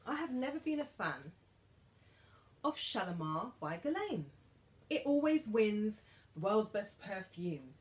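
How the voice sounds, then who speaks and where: A British woman in her thirties chatting to camera in a beauty haul vlog, animated and dropping to whispers for asides, filmed in her dressing room. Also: medium pitch, a little slow, long pauses. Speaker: beauty vlogger. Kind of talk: casual haul chat to camera. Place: bedroom dressing room with vanity, indoors.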